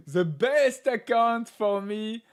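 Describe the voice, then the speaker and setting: A man hosting a live stream, chatting casually in English with a non-native accent, laughing often and filling pauses with "uh". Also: sits high, expressive, clean tone. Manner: laughs often, talks with many "uh" fillers. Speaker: livestream host.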